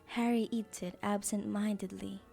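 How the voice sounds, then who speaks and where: A woman's voice: mid-range voice, level tone, moderate pace, gravelly, mellow, faint music behind. A woman narrating an audio drama in a scripted storybook style.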